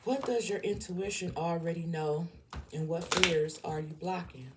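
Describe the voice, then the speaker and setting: Woman, Southern US accent, low pitch, unhurried pace, tarot reader, blunt, emphatic, repetitive, informal. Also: heavy background noise. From southern United States. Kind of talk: informal spiritual tarot reading to viewers.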